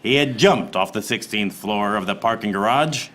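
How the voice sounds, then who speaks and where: An actor playing a hapless, exasperated private eye, narrating deadpan in a parody noir radio play. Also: male voice, lowish voice, normal speed, close to an everyday voice. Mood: urgent, exasperated. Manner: deadpan hard-boiled narration.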